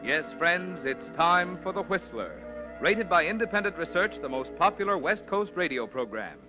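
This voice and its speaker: A man's voice: a little high, big pitch swings, normal speed. A male radio announcer reading sponsor messages and credits in a polished, persuasive 1940s style. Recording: dull old radio recording with music.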